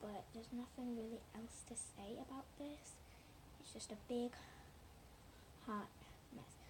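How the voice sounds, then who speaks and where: A woman chatting casually and haltingly through a hands-on demonstration for viewers, with a hoarse, breathy voice that keeps thinning into a whisper. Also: a little high, steady tone. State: hoarse, losing her voice. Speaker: slime video maker talking to viewers. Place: at home indoors. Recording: noisy amateur home recording.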